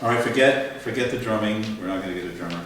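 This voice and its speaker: A man's voice: lowish voice, quick pace, fairly bright tone, natural voice. A man playing a dry, exasperated show colleague in a comic radio sketch. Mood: dry amusement. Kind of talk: comic old-time radio sketch acting before a live audience. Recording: very echoey hall, distant mic, audience noise.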